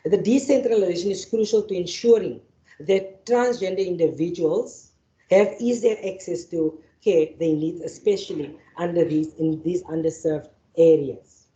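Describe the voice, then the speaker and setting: Woman, Afrikaans mother tongue, lowish voice, mellow tone, reads formal remarks with restarts, roomy hall. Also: normal speed. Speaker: panel facilitator. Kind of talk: formal speech and speaker introductions. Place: university lecture venue. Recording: echoey hall, dull sound.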